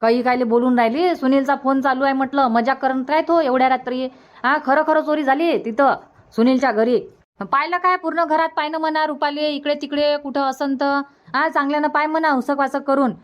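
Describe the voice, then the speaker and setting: A woman's voice: high-pitched, natural voice. A woman voicing a grumbling, doubting middle-aged mother in a cartoon comedy, with a Varhadi Marathi accent. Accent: Varhadi Marathi from Vidarbha.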